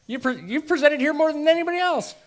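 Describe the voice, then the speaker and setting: A man's voice: very high-pitched, animated, quick pace. A man hosting a casual group meeting, joking and upbeat, speaking into a handheld mic in a meeting room. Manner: upbeat, joking, repeats "all righty". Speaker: meeting host. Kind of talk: casual emcee chat.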